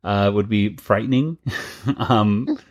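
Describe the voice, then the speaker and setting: Low-voiced man chatting on a podcast. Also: slow, dull audio. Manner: chatty, rambling with restarts and laughs. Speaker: podcast co-host. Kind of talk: casual chat.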